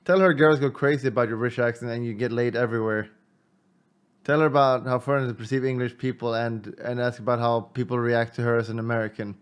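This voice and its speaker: A male streamer tossing out mocking, crude remarks while he plays. His voice is ordinary in pitch. He talks in a loose, casual commentary style. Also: steady tone, fast, clear, resonant tone. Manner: mocking, laughing, crude asides. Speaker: streamer playing a game.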